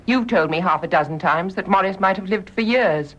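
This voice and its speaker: A woman's voice: mid-range voice, brisk, natural voice. A woman with a British accent playing a grave, stubborn, wounded nurse in a radio drama, speaking in a clipped, formal, emphatic way. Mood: grave, defiant. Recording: dull, muffled radio drama recording.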